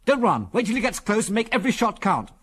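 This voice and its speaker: A grim, authoritative adventurer hero, voiced by a male storyteller, cool-headed and terse. The voice is slightly rough and husky, with strong movement in pitch for a storybook adventure. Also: animated, normal speed, natural voice. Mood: urgent.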